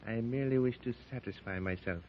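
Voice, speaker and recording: An actor playing a thoughtful, cautious companion in a 1930s radio serial, speaking deliberately with musing hesitations. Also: male voice, medium pitch, lightly acted. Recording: dull old radio recording with faint hiss.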